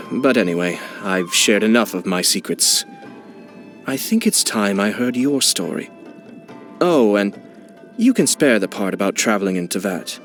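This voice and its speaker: A poised, cunning young lord of a noble clan, speaks in a lowish register with formal, unhurried politeness. His refined manner carries a sly, teasing edge. Soft music plays behind the voice. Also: big pitch swings. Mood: coy. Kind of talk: scripted game character voice lines.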